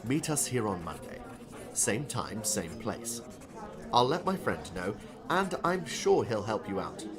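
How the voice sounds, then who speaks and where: An actor playing a kindly, encouraging novelist in an audiobook drama, speaking in a measured, reflective way. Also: male voice, lowish voice, very animated, crowd noise. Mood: thoughtful, encouraging. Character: kindly male novelist, thoughtful and gently encouraging.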